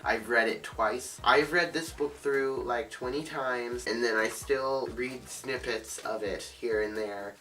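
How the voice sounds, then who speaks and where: A man's voice: medium pitch, sharp tone, far from the mic. Congested young man chatting about books. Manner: chatty, rambling, filler-heavy. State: congested from a cold. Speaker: book vlogger. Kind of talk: casual book talk to camera.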